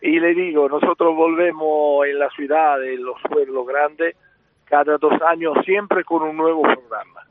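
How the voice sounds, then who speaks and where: A man's voice: on the high side, fairly even intonation, unhurried, dry recording. Italian-accented guy calling into a radio show, phone-line sound, dry, keeps repeating himself. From Spain. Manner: repetitive, restarts often, promotional. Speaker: circus representative. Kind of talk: radio interview.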